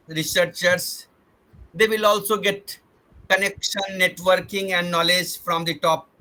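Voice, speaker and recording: Male webinar host, high, sharp voice, earnest, circles back to rephrase, video call audio. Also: poor audio. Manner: earnest, repeats and rephrases himself. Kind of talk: formal online introduction.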